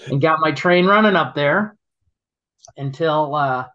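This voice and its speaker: A man telling stories in casual chat, halting and rambling, laughing often as he goes. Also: fairly high pitch, sharp tone, lo-fi sound. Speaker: guest, local business owner.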